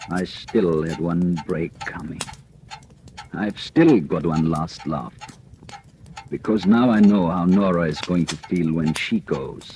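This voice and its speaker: An actor in 1940s radio drama voicing a shot, dying husband who narrates his fate in a grim, measured way. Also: low-pitched. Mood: resigned. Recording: noisy old radio broadcast.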